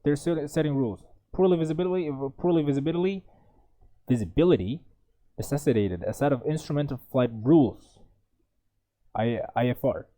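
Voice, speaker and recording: Halting man reading a test passage. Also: fairly high pitch, expressive, dark tone. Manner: halting, many restarts and self-corrections while reading. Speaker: IELTS tutor.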